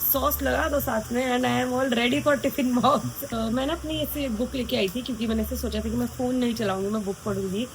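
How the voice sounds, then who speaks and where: A woman's voice: a little high, steady tone, moderate pace, hardly any pauses, a little hiss or hum. A young woman talking to camera in a casual vlog, chatty and rambling, poking fun at herself. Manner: chatty, rambling, self-mocking. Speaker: vlogger.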